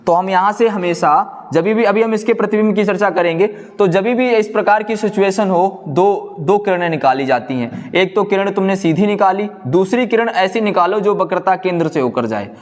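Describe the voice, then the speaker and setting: A man lecturing as a physics teacher, asking questions, answering them himself and repeating key phrases slowly for note-taking. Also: high voice. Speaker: physics teacher in an exam coaching class. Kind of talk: classroom lecture, explaining step by step. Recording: echoey hall-like room reverb.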